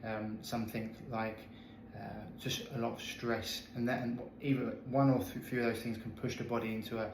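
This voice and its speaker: Earnest man in his thirties, flat delivery. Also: medium pitch, a little fast, background noise. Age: 30s. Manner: earnest, candid, many restarts. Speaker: health coach guest. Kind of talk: interview storytelling. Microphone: video call. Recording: echoey video call audio.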